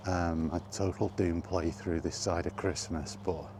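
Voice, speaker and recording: Very deep, slow-talking man. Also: fairly even intonation, background noise. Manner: hesitant, meandering, thinking aloud. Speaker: gaming content creator and podcaster. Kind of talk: casual rambling vlog chat.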